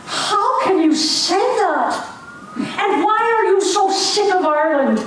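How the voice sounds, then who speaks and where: A woman's voice: extremely high pitch, animated, slow, fairly bright tone, full character voice. An older woman acting a spirited young Irish nationalist in an amateur stage reading, earnest and needling. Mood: fervent. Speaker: community theater actor. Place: community stage hall. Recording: echoey hall, mic far off, faint hiss.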